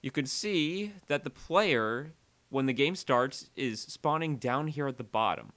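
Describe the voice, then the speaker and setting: Man with lively, swinging pitch narrating a tutorial. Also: a little slow. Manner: conversational, self-interrupting, uneven energy, dwells on key words. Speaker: tutorial creator and presenter. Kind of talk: casual software tutorial voice-over.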